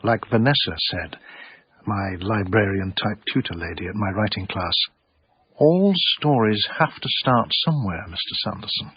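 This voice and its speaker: An actor playing a wry, literary art dealer, narrating his own story in measured, florid phrases for radio drama. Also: lowish voice, expressive, slightly rough, no echo, dull audio.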